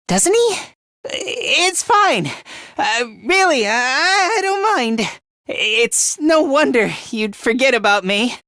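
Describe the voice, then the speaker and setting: A timid young anime game student boy, really high voice, stammers and runs himself down, slow and swoopy. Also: fairly bright tone, no room sound, studio quality, full character voice. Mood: glum, self-loathing. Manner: hesitant, stammering, self-deprecating. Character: timid, self-loathing young male student fighter. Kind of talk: English video game voice acting.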